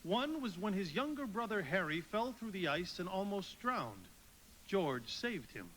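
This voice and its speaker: Boss angel in a radio play, kindly and instructive, high lively voice, faint noise. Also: male voice, unhurried, exaggerated voice, low-quality recording. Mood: kindly authority. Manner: instructive, avuncular. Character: kindly, authoritative male superintendent of angels. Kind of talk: live radio play acting.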